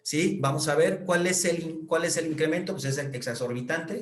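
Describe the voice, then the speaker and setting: A man's voice: a little high, normal speed, a little hiss or hum. A man with a Mexican Spanish accent explaining pension rules in a lecture, with many fillers and checks like "right?". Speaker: accountant explaining pension reform. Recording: echoey room.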